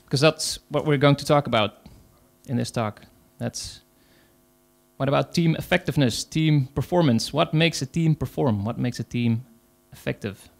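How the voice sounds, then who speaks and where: A man's voice: medium pitch, normal speed, creaky. A man with a Dutch accent, speaking English as a second language, gives a conference talk as an agile coach, hesitant, with many fillers. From Netherlands.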